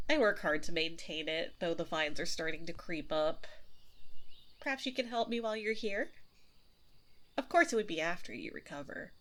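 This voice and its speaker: A woman's voice: mid-range voice, a bit bright, natural voice. A woman playing a seductive, possessive witch in an ASMR audio roleplay, coy and flirtatious with a politely sinister manner. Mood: welcoming, coy. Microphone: close binaural 3Dio microphone. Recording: nature sounds and fire crackle behind voice. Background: nature ambience, fireplace.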